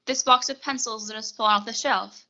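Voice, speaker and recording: A woman's voice: on the high side, steady tone, fairly quick, sharp tone, lo-fi sound. A young woman playing a prim, formal, coolly deferential secretary in a 1940s-style suspense radio play. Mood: calm, placating. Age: young adult.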